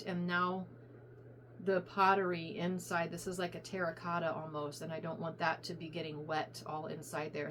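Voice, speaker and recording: A middle-aged woman from Virginia chatting casually to viewers in a rambling, self-correcting way while her voice goes hoarse. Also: fairly low pitch, a little hiss or hum. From coastal Virginia, US. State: voice going hoarse. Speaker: reseller vlogger. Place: home room with piles of stuff. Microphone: phone on a ring light.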